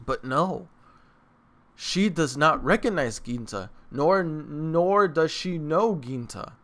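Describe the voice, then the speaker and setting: A man's voice: on the high side, expressive, slow, fairly bright tone. A man hosting a reaction channel, rambling casually to his viewers in informal slang and repeating himself for emphasis. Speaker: host of an anime reaction channel.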